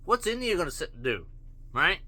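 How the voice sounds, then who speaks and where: Male vlogger, blunt profane ranter; slightly raised pitch, piercing tense timbre. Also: sing-song, a little fast, bright, forceful tone. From Canada. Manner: blunt, profane, rambling rhetorical questions. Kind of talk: opinion rant to camera.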